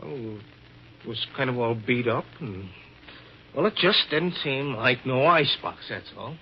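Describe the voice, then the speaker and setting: A man's voice: medium pitch, lively intonation, a little slow. An American man acting a jumpy, evasive working-class hitchhiker in a 1950s radio drama, with slangy speech. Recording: dull, slightly noisy old radio recording.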